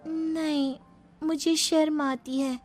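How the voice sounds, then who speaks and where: A woman voicing a coy young bride in a cartoon story, pert and quick to answer back. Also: high-pitched, level tone, darkish tone, faint music behind, voice pushed up. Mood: shy. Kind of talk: animated moral story dialogue.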